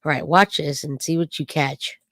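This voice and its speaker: A woman's voice: sits low, fairly even intonation, moderate pace, fairly bright tone, no room sound, studio quality. A woman commentating on a true-crime clip to her live chat, probing and skeptical, firing off questions, her voice a little off from dust and allergies.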